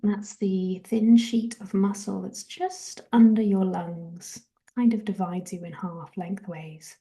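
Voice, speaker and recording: Very slow, soft, mellow woman guiding relaxation. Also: mid-range voice, fairly even intonation. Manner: soft, breathy, half-whispered, gentle. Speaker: guided relaxation and meditation host. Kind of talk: guided meditation, soothing body scan instruction.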